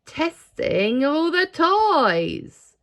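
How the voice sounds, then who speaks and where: A hearty, friendly old gentleman of a children's storybook, acted out by a woman. He exclaims with pride, and his pitch swings widely. There is a strong creak in the voice. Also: big pitch swings, extremely slow, exaggerated voice. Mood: brisk, cheerful.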